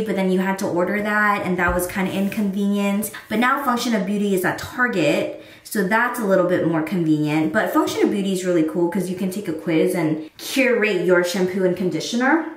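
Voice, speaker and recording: Chatty woman vlogger in a roomy space. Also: mid-range voice. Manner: chatty, rambling, lots of fillers. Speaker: lifestyle vlogger. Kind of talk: casual vlog chat. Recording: roomy, mic at a distance.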